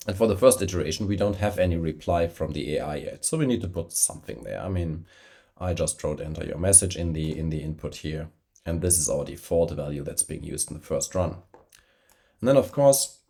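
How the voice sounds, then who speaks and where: A man with a deep, mellow voice and a slight cold walks through a software tutorial, pausing often with fillers and correcting himself mid-sentence. He records while traveling on a poor-quality microphone. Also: vocal fry. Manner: hesitant, many fillers and self-corrections. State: has a cold, slightly congested. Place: traveling, no camera, voice-over a screen recording.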